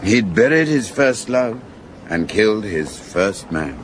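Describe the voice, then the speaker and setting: A genial, curious companion in a radio drama. His voice is on the low side and varies a good deal in pitch, with an easy conversational delivery over noticeable background noise. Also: sing-song, takes it slowly. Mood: curious, amused. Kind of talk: naturalistic radio drama acting.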